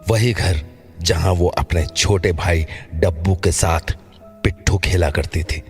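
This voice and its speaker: A man's voice: sits low, slow. A man narrating a scripted horror story as the storyteller of an audio drama. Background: background music.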